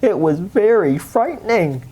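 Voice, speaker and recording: A woman's voice: mid-range voice, big pitch swings, unhurried, warm tone, husky, low-quality recording. A woman reading a children's bedtime story aloud as storyteller.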